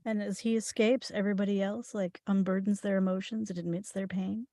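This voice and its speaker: A woman's voice: mid-range voice, little pitch movement, a little fast, dark tone, no room sound, clean studio sound. A woman discussing a script at a table read, thinking aloud and building her ideas as she goes.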